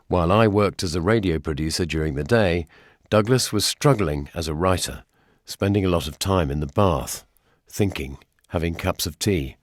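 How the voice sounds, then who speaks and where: An older man with an English accent presenting a scripted radio documentary in a measured, gently wry way. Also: low-pitched, no echo, clean studio sound.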